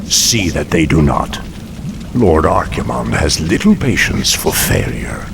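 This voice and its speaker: Game demon envoy: low, gravelly, stern, commanding, menacing. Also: male voice, sing-song, measured pace, background noise, exaggerated voice. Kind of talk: video game cutscene acting.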